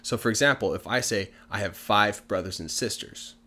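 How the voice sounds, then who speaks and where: This is a man in his thirties, recorded at home on a studio mic in front of a sofa. He teaches in an animated way with a lowish voice and slows right down whenever he models an example phrase. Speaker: English teacher. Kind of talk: casual video lesson. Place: living room with gray sofa, indoors. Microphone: large studio mic on arm in front.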